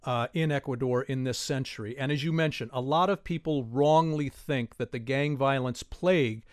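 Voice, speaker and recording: A man hosting a public radio talk show from a studio, speaking in a measured, clearly enunciated way. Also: mid-range voice, dry recording. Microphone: studio microphone.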